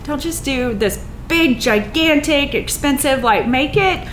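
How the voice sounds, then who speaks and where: A woman's voice: high-pitched, measured pace. A chatty, enthusiastic woman hosting a casual interview on a theater stage. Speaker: podcast host. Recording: roomy echo, faint background noise.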